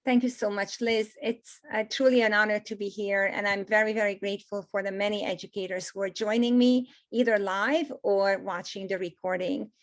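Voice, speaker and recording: A woman's voice: mid-range voice, fairly even intonation, normal speed, hardly any pauses. Hungarian-accented woman presenting a webinar. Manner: gracious, emphatic repetitions. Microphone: video call.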